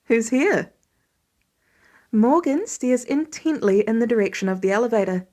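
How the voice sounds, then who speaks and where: A woman reading fan fiction aloud as an expressive audiobook-style narrator. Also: on the high side, brisk. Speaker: podfic reader.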